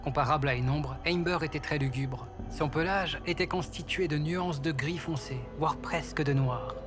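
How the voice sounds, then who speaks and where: Male fantasy narrator over loud music. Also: fairly high pitch, moderate pace. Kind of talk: scripted fantasy story narration.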